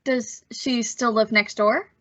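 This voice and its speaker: A woman as an earnest teenage boy narrating and acting in a radio suspense play. The voice is fairly high and bright, with a bashful, halting delivery. The recording is slightly muffled. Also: fairly even intonation. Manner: halting, nervous stammer with sheepish delivery.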